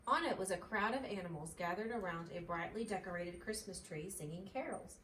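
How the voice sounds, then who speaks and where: A woman's voice: fairly low pitch, a little fast, fairly bright tone. A woman narrating a children's storybook aloud expressively, as a mother reads. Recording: roomy, distant mic, faint noise.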